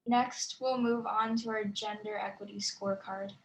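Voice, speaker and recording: A young woman presenting for her group, reading a prepared text at a steady, measured pace over a video call. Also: mid-range voice, low-quality recording. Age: young adult. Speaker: youth ambassador presenter.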